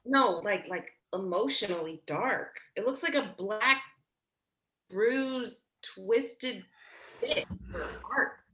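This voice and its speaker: A woman's voice: mid-range voice, slow, fairly bright tone. A woman acting a blunt, teasing, protective young woman in a staged play reading, with casual, sweary, sardonic delivery. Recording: roomy, echoey sound.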